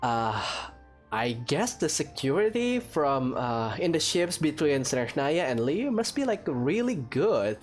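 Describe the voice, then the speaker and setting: A woman doing casual gameplay commentary in a halting, dryly joking way. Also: low voice, sing-song, darkish tone, faint music behind. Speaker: gaming streamer.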